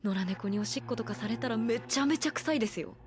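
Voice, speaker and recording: A woman's voice: fairly high pitch, expressive, quick pace, slight background noise, lightly acted. A woman voicing a practical, dryly unfazed young woman in a Japanese audio drama, explaining things politely but casually.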